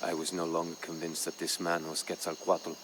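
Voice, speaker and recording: A man playing a proud, grave Aztec jaguar warrior who solemnly recounts his people's fall as a strategy game's campaign narrator, in a measured, dramatic way. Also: sits low, completely flat delivery, a bit bright, a little hiss or hum. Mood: solemn, wary. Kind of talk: solemn scripted storytelling narration for a strategy game.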